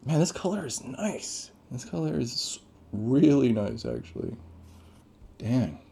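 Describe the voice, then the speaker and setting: A man's voice: mid-range voice, sing-song, slow pace, gravelly, dark tone, slightly rough. A man giving casual, off-the-cuff first impressions as a gadget reviewer, thinking aloud as he goes. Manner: off-the-cuff, thinking aloud while reading out menus.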